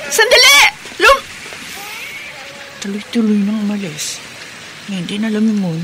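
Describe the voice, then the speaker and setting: A woman's voice: medium pitch, huge pitch swings, a little slow, noisy background. An actress playing a polite, concerned young village woman in a radio drama, with earnest questions.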